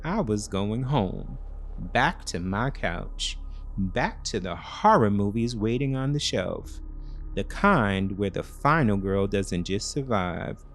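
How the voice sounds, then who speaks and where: A man giving dramatic, scripted first-person thriller narration in deliberate, clipped phrases. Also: lowish voice, big pitch swings, a little hiss or hum. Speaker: storyteller.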